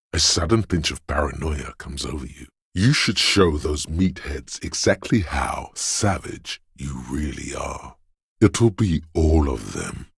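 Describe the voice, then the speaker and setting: Super deep husky paranoid inner voice for a video game, slow n ominous, like raw fear and menace talking. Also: male voice, no room sound, studio quality, exaggerated voice. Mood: ominous, hushed, then aggressive, paranoid. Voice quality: gravelly, husky, breathy. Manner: slow, ominous, intense delivery. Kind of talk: dramatic video game voice acting.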